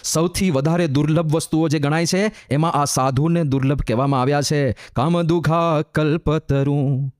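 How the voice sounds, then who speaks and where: A male monk delivers a devotional sermon in a fairly high voice. He draws out sung verses slowly, then explains them with building, repeated phrases. The sound is close and dry. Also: steady tone, darkish tone, no room sound. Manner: chants verses slowly, then explains with rising emphatic repetition.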